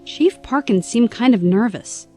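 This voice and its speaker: An actress playing an anxious young travelling companion in an audio drama. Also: female voice, on the high side, lively intonation, unhurried, warm tone, soft background music. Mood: uneasy, curious.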